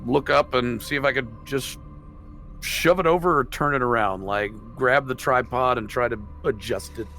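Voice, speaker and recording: A man's voice: medium pitch, normal speed, bright, forceful tone, faint music behind. A man playing a tabletop roleplaying game, chatting casually with the group.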